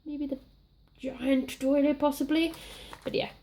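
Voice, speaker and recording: A young Welsh woman, a little croaky from being sick, chatting casually and rambling while she works on a scrapbook page. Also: high-pitched, fairly even intonation, a little slow, mellow. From Wales. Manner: chatty, rambling, self-deprecating. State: slightly croaky from illness. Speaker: scrapbooking crafter. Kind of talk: casual crafting chat.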